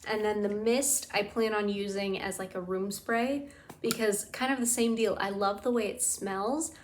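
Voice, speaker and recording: A woman vlogging in a casual, chatty way about bath products, her voice tired and a bit raspy from talking. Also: mid-range voice, steady tone, normal speed, slight background noise. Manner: enthusiastic, gushing, lots of "like". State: tired, slightly raspy throat. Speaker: beauty and scent vlogger. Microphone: camera mic.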